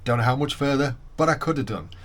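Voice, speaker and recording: A man's voice: mid-range voice, quick pace, a bit bright. A man with a British accent chatting casually to camera as a product reviewer. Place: in and around a van.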